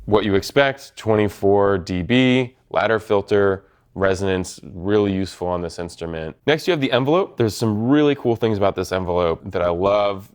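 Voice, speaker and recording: Brief: male product demonstrator, deep register, methodical step-by-step explanation, synthesizer sounds faintly behind. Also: lively intonation, normal speed, few pauses, clean tone, soft background music. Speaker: product presenter from a synth maker. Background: synthesizer tones.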